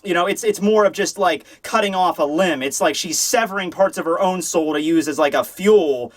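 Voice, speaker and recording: A man with a high voice hosts a casual comedic review. He rambles excitably, swears freely and keeps dropping sarcastic asides into his commentary. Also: normal speed. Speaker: host of a manga review show.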